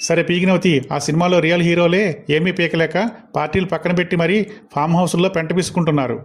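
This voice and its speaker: A man's voice: fairly high pitch, level tone, fast, natural voice. A man playing a sardonic, blunt Tamil border soldier who teases and mocks in a comic cartoon. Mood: mocking. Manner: teasing, sarcastic.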